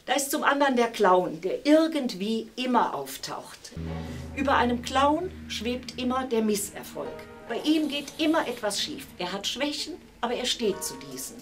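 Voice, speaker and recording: An older woman delivering a measured, formal opening speech about the artists at an exhibition. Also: on the high side, sing-song, faint music behind. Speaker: opening speaker. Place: gallery, indoors.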